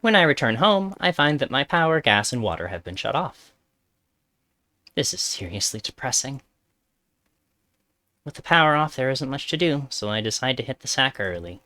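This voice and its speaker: A man reading a visual novel's first-person story aloud as live narration, with loud game music behind him. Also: mid-range voice, big pitch swings, normal speed, long pauses.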